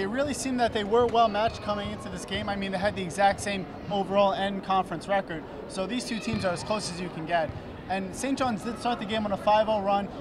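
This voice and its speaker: A man's voice: high-pitched, background noise. A young man co-hosting a postgame basketball recap courtside, quoting stats and asking follow-up questions into a handheld mic. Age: young adult. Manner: stat-heavy, asks follow-up questions. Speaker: student sports co-host. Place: courtside in a large indoor arena.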